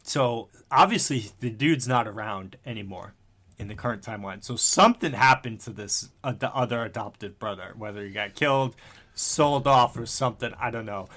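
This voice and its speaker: Male podcast co-host, bright forceful tone, casual slangy delivery, swears freely, breaks into laughs. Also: mid-range voice, moderate pace. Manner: laughs often while talking. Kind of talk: casual chat, animated fan retelling.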